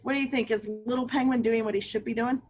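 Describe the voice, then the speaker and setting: A woman's voice: fairly high pitch, steady tone, fast talker, a little mellow. A woman reading a picture book aloud to children, with chatty asides and questions to her young listeners. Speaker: read-aloud storyteller for children.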